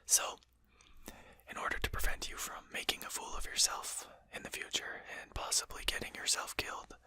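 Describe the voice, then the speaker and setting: A performer voicing a composed, courteous, faintly mocking vampire in a breathy, articulate whisper for an ASMR roleplay. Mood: politely condescending. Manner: slow, articulate, formal, gently mocking. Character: composed, courteous, condescending vampire addressing a hunter.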